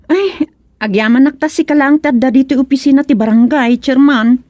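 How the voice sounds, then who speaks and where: High-pitched worried Ilocano mother acted by a woman. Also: normal speed, darkish tone, lightly acted. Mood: complaining, upset. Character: worried, complaining mother of a troubled schoolboy. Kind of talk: Ilocano radio comedy drama acting.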